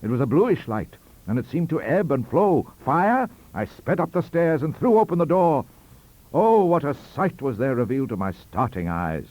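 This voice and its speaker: A man's voice: mid-range voice, big pitch swings, normal speed, a little mellow, no echo, rough recording. A man narrating a ghost story in a theatrical, melodramatic manner. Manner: theatrical, melodramatic, wide-ranging delivery. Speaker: audiobook narrator.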